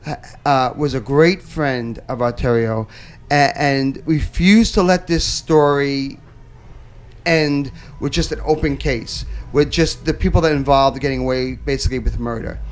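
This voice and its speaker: A man's voice: mid-range voice, a little slow. A man hosting an interview show, blunt and profane, stumbling over repeated words as he talks. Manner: stammering restarts, blunt and profane. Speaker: talk show host. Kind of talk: casual interview chat. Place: nightclub.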